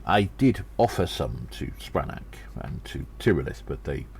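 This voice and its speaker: A man's voice: extremely low pitch, sing-song, a little slow, gravelly, darkish tone, slight background noise, heavily acted. A man voicing a small gnome adventurer who recounts battles with theatrical gravity in an audio drama. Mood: confiding, genial. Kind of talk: audio drama storytelling, first-person narration.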